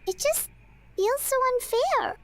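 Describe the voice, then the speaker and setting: A woman voicing a small, childlike fairy companion in a video game, squeaky and indignant. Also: animated, takes it slowly, full character voice. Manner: speaks of self in third person.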